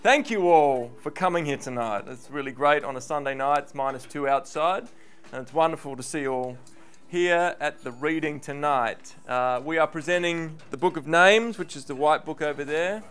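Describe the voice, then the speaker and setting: A man's voice: on the high side, moderate pace, fairly bright tone. A man giving an informal welcome speech as host of a live reading event. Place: live book launch venue.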